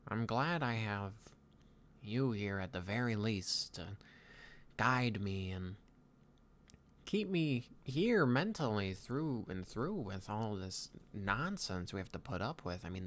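Male performer as weary young Viking leader; nasal, fairly low, hesitant and wistful, improvised roleplay. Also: fairly bright tone. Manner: halting, hesitant musing with pauses. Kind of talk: improvised roleplay audio addressed to a companion.

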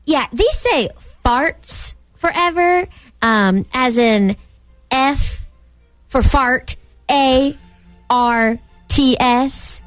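High-voiced, awkward order clerk played by a woman. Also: animated, drawn-out words, dry recording, faint music behind, exaggerated voice. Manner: hesitant, polite. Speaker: prank caller posing as shop clerk. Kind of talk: prank phone call.

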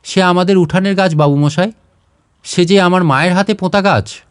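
A man's voice: high voice, normal speed, smooth, dry recording, lightly acted. Guy doing a poor scared village kid from a storybook, grieving and begging meekly, dry close sound. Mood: pleading. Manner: meek, tearful, pleading.

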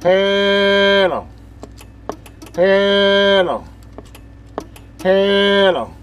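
A man's voice: high voice, very slow, a bit bright. Folksy man rambling through a demo, noisy background. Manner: folksy, informal, thinking aloud. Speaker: hobbyist presenter demonstrating a CB radio. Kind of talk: casual rambling hands-on demonstration.

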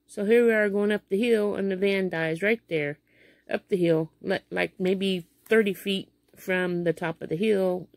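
A woman's voice: lowish voice, vocal fry, darkish tone, no room sound. A woman in her fifties with a Midwestern American accent, telling a rambling everyday story in a home vlog, full of fillers and restarts, filmed on a phone held close in a small bedroom. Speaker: vlogger. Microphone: phone camera held close below face.

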